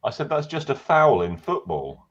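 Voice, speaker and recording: A man's voice: mid-range voice, big pitch swings, normal speed, dull audio. A man co-hosting with casual banter. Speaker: co-host.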